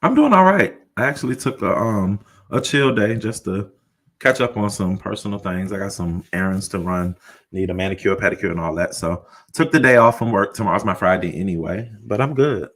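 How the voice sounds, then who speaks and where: A man with a deep voice hosting a casual chat, talking in a laid-back, rambling way. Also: lively intonation, fairly quick, a bit bright. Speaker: host.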